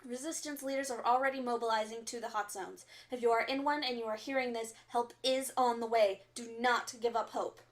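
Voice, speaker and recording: A woman's fairly high voice delivers formal underground radio bulletins as an acted role. She is composed and stern, measuring each phrase like an official announcer in a sci-fi radio drama. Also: fairly even intonation, a little fast, few pauses, lightly acted. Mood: steady, reassuring.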